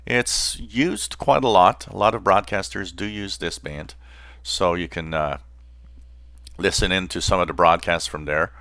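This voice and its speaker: A man hosting a hobby channel, explaining informally in a halting way with pauses mid-phrase. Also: low voice, sharp tone, clear, resonant tone, dry recording. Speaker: host of a shortwave radio channel.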